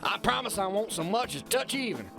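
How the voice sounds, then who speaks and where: A man's voice: high voice, sing-song, a little fast, vocal fry, music in the background, full character voice. A man playing a bombastic, corrupt kingpin boss in an audio drama, blustering, mocking and condescending. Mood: taunting, menacing. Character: bullying, corrupt alpha-male kingpin boss, bombastic and scornful. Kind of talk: theatrical radio-play acting.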